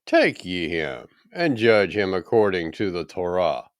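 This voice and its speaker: A man's voice: deep voice, very animated, measured pace, clear, resonant tone, no room sound, clean studio sound. A man voicing a stern Roman governor in a scripture reading, questioning a prisoner with commanding, emphatic delivery.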